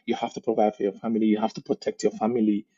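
A man with a Nigerian accent talking as a podcast guest, telling animated stories and laughing mid-sentence. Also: fairly low pitch, rapid-fire, slightly rough, highs cut off. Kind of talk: casual interview conversation.

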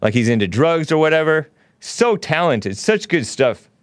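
A man's voice: fairly high pitch, very animated, moderate pace, no echo. A man hosting a casual call-in show, musing aloud in a laid-back way and chuckling often. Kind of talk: casual call-in radio chat.

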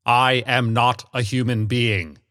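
Seeking a man to play a humorless artificial creature, harsh and droning, slowly proclaiming doom, storybook narration. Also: mid-range voice, no echo, studio quality. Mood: insistent, grave. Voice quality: harsh, monotonous. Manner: slow, portentous declaiming. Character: gray-faced menacing male golem, pompous and humorless.

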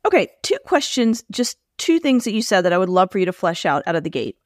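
A woman hosting a podcast, forceful and emphatic, repeating points for punch as she rails and then interviews her guest. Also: medium pitch, very animated, quick pace, dry recording, studio quality. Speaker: podcast host. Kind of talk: impassioned opinion monologue and interview.

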